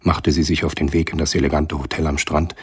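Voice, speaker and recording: A man narrating a radio drama from a script in a measured, sober storytelling manner. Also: a bass voice, level tone, slightly rough, no echo.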